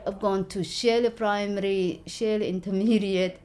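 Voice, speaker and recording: A woman, telling her story in an interview with an earnest, halting manner and many fillers. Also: mid-range voice, fairly even intonation, a little mellow. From Somalia. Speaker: local election candidate.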